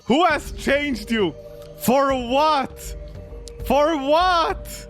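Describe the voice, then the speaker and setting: A man's voice: extremely high pitch, lively intonation, drawn-out words, a bit bright, faint music behind, voice pushed up, heavily acted. A man voicing a bitter, self-righteous forty-year-old intellectual in a visual novel, pompous and melodramatic as he complains. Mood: indignant lament.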